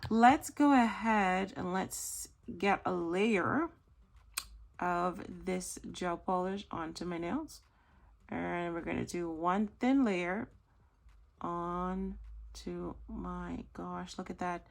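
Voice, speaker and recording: A woman hosting a nail art tutorial, chatty and gushing, slightly hoarse as she loses her voice. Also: lowish voice, slow. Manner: chatty, gushing, self-correcting. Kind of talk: casual tutorial chat.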